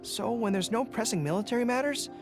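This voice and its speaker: A young male army general from a video game, sincere and spirited. His pitch moves in lively swings as he speaks with earnest conviction. Music plays behind the voice. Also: a little fast. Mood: respectful, thoughtful. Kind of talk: video game character voice lines.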